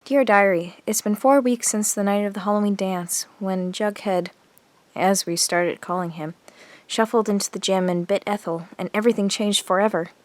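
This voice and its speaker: A woman's voice: medium pitch, fairly even intonation, moderate pace, no echo, close to an everyday voice. An actress playing an earnest teenage girl in a zombie audio drama, confiding and reflective as she narrates her diary. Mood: grieving, reflective. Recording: music under the voice. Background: music.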